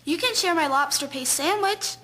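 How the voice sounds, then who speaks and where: A woman's voice: very high-pitched, expressive, normal speed, bright, forceful tone, exaggerated voice. A woman playing a friendly, eager teenage schoolgirl in a 1920s audio drama. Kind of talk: scripted audio drama acting.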